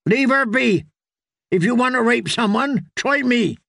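A man voicing a grim, defiant female knight who speaks in a low voice. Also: big pitch swings, a little slow, vocal fry, fairly bright tone, husky, no echo, clean studio sound, full character voice.

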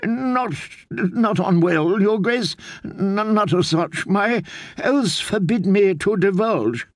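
Audiobook figure: male narrator voicing a cowering old healer, high and trembling, stammers, dry booth. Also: takes it slowly, dry recording, exaggerated voice. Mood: fearful. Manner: quavering, stammering, pleading. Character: frightened elderly male court healer, stammering. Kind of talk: audiobook character voice.

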